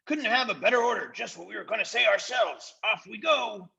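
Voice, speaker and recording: Very high, fawning creature lackey. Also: male voice, fairly quick, creaky, sharp tone, highs cut off, exaggerated voice. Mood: fawning, eager. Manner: eagerly agreeing, sycophantic. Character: chorus of silly, fawning invisible creature followers.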